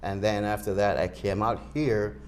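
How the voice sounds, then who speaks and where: A man's voice: fairly low pitch, steady tone, normal speed, a little mellow, a little hiss or hum. An older man from Barbados, a pastor, answering interview questions earnestly with many restarts.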